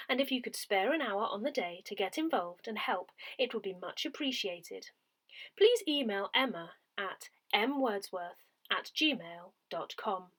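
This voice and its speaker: Woman reading aloud with lively pitch. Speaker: newsletter reader. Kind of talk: scripted read-aloud of a community newsletter.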